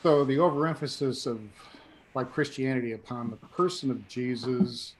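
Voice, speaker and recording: A hoarse man taking part in a webinar over a video call, his voice quiet and trailing off. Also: mid-range voice, slight background noise, rough recording. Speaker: webinar participant. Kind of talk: casual discussion on a video call.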